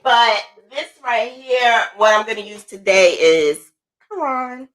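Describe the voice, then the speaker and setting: A woman from New Jersey chatting casually on a livestream, asking questions and laughing easily. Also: on the high side. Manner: lively, curious, quick to laugh. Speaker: livestream host.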